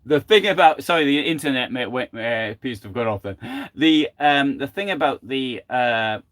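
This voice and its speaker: A man's voice: mid-range voice, expressive, normal speed, a bit bright. An older man giving a live, unscripted history talk from home, thinking aloud with many fillers and restarts. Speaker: history presenter.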